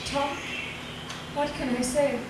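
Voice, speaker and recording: An actress playing an anguished, conscience-stricken wife in an old-time radio drama, earnest, grave and melodramatic. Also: female voice, sits high, steady tone, takes it slowly. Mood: bitter, grave. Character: anguished, conscience-stricken wife, resolute against dirty money. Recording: echoey, distant, noisy old radio recording.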